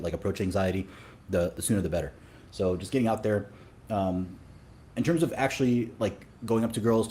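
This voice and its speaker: A man's voice: on the low side, mellow. A man from Sudan, a dating coach, talking casually in an interview with many fillers and restarts.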